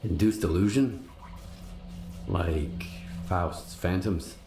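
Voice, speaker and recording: A gravelly, slow, weary mercenary. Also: male voice, low voice. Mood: guarded, questioning. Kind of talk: video game cutscene acting. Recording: roomy, echoing game audio.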